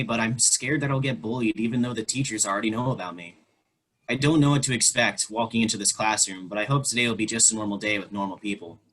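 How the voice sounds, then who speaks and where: A man's voice: fairly low pitch, bright, forceful tone, rough recording. A man voicing an anxious teenage transgender girl who is the new student, earnest and defensive, in a virtual play reading. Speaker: actor in a staged play reading. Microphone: video call.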